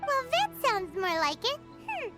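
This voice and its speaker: Tiny squeaky fairy kid for a game, super high, excitable n easily huffy, music behind. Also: female voice, a little slow, exaggerated voice. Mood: relieved. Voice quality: squeaky, childish. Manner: expressive, speaks of herself in third person. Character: small excitable childlike girl fairy companion, easily indignant. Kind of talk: video game character acting.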